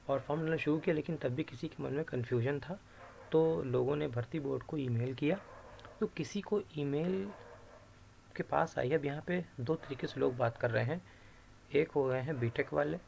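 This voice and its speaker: A man's voice: mid-range voice, creaky, darkish tone, slight background noise. A man presenting an informal screen-share explainer, explaining patiently by repeating and rephrasing key points. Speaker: YouTube presenter explaining recruitment rules.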